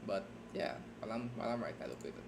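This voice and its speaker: A man with a lowish voice talks loosely and self-deprecatingly as he streams his writing session, often stopping to restart a thought. Steady typing sounds clearly from his keyboard underneath him. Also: noisy background. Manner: rambling, halting, self-deprecating. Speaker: streamer and fan fiction writer. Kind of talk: casual livestream chat while writing. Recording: keyboard typing audible behind voice.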